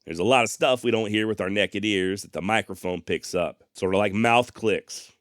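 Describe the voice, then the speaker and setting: Deep-voiced man, clean close podcast sound. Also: moderate pace, a bit bright, no room sound. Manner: plainspoken, mildly profane, emphatic. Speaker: podcast host. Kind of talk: casual direct address to listeners. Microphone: close dynamic studio microphone, processed.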